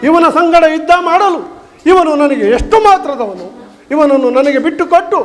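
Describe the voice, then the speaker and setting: A man performs a bold, loyal royal subject with a very high voice. He declaims earnestly in a mythological stage play, heard in a reverberant hall. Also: lively intonation, normal speed. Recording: reverberant hall, faint music behind.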